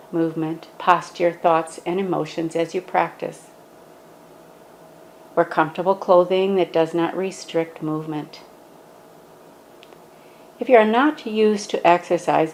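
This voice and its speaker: A woman gently guiding a standing yoga meditation, speaking in a calm, instructive way. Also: lowish voice, a little hiss or hum, poor audio.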